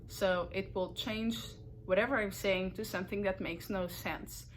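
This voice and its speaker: A young woman with a Portuguese accent chats slowly and casually to the camera as she hosts her knitting video. Her pitch is moderate, and she takes her time, with fillers and small self-corrections. A faint hum of room noise sits behind her. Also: fairly even intonation. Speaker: knitting podcast host.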